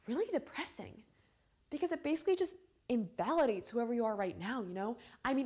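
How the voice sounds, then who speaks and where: Actress as opinionated young American woman, higher voice, argumentative, casual and rambling, contemporary stage piece. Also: a little mellow, slightly rough. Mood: exasperated. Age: young adult. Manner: rambling, emphatic, casual filler-laden delivery. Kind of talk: naturalistic contemporary stage monologue.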